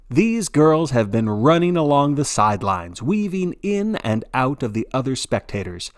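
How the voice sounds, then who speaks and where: A man's voice: medium pitch, dry recording, clean studio sound. A man narrating a story as a sports broadcaster, in a theatrical play-by-play style with wry, folksy asides.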